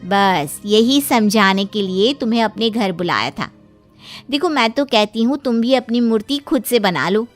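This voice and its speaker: An earnest female friend from a cartoon moral tale, put on by a woman. She speaks persuasively in a fairly high voice. Light music sits behind her. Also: lively intonation, a little slow. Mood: earnest, persuading. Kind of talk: cartoon moral story dialogue.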